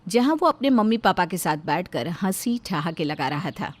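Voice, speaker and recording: A woman's voice: lowish voice, sing-song, normal speed. A woman reading scripted narration of a moral story as its storyteller.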